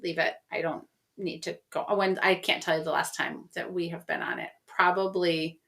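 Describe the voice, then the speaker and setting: Middle-aged female co-host, bright and tense tone, hoarse with a cough, brisk and decisive. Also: on the low side, flat delivery. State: coughing, losing her voice. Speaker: podcast co-host. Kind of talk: casual chat.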